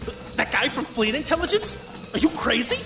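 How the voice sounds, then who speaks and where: A woman playing a frank, excitable crewmate in a science fiction audio drama, with a casual, animated delivery. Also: high-pitched, fairly quick. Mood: incredulous. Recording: music bed behind the voice.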